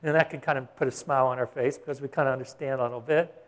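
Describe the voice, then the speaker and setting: A man's voice: medium pitch, steady tone, rapid-fire. A man preaching a sermon as a Bible teacher, in a conversational way with frequent questions to his listeners. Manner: conversational preaching, asks the congregation "Got it?". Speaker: pastor.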